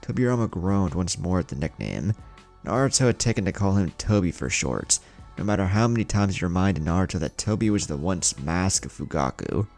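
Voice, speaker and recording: A man's voice: low voice, animated, a little fast, a little mellow, soft background music. A man narrating fan fiction in audiobook style as a channel host.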